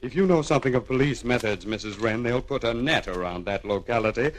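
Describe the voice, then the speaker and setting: An actor playing a smooth, hesitant crooked lawyer in a 1940s radio crime serial, with drawn-out politeness. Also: male voice, mid-range voice, natural voice. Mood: pleased, triumphant. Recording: old 1940s radio recording.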